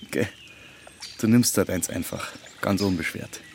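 A man's voice: low voice, moderate pace, darkish tone, a little hiss or hum, close to an everyday voice. A man playing a dry, slightly officious and hesitant villager in a Bavarian dialect audio drama.

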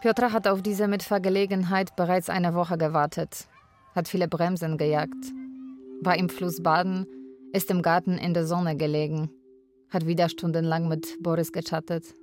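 Actress as carer lady, low flat voice, wistful, frank, radio drama, bit of music under it. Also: normal speed, natural voice. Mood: narrating, matter-of-fact. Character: Polish live-in carer, woman, wistful and frank. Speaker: actor. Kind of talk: radio drama narration and dialogue.

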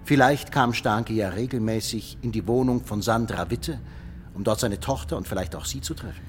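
An actor in a radio play playing a skeptical police detective, over-polite yet pointed and insistent. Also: male voice, mid-range voice, moderate pace, faint music behind, lightly acted. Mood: probing.

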